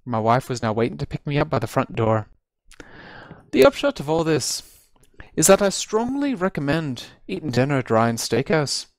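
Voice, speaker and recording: Theatrical man reading, swooping expressive pitch. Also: moderate pace, dry recording. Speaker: amateur reader of a comic story. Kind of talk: dramatic read-aloud of a humorous first-person story. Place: recorded over an online voice chat.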